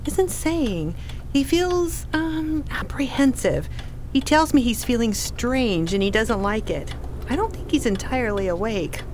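A woman's voice: fairly high pitch, animated, unhurried, a little mellow, slight background noise. A woman playing a dry, practical, anxious pregnant wife in a radio play. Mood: dry, uneasy. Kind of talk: radio drama acting.

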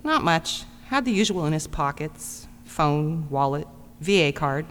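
A woman's voice: deep voice, lively intonation, measured pace. Wry female medical examiner, acted by a woman, blunt, swooping intonation, long reverb, faint hum. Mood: wry, matter-of-fact. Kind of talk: audio drama acting.

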